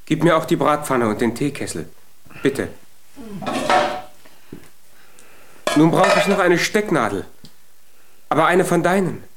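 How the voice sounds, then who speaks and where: An actor playing a small, earnest, naive young giant in a German children's radio play. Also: male voice, a little high, sing-song, normal speed, fairly bright tone, a little hiss or hum. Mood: polite, busy.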